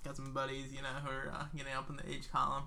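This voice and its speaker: A man's voice: medium pitch, monotone, very fast, vocal fry, pushed and bright, a little hiss or hum. A man in his twenties hosting a casual podcast, laid-back and joking. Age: 20s. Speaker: podcast host. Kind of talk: casual chat.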